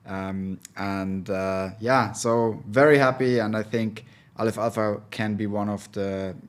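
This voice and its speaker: Low-voiced man, hesitant. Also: fairly even intonation, unhurried, clean tone. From Switzerland. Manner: thoughtful, hesitant, many filler sounds. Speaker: venture capital partner, guest. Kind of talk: casual interview talk.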